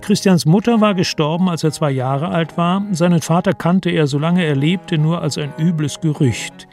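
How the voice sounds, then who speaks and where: An actor as a sober chronicler, giving composed, factual, literary narration in a radio play. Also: male voice, on the high side, normal speed, darkish tone, faint music behind, natural voice. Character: sober male chronicler of royal history. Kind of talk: scripted narration.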